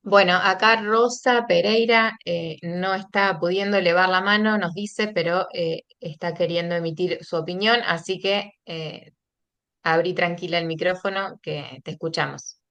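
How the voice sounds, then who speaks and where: A woman's voice: fairly low pitch, steady tone, a little slow, fairly bright tone. A woman co-hosting a seminar, talking kindly with small fillers as she hands over the microphone. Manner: friendly, with fillers. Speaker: seminar co-host. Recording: dull, lo-fi video call audio.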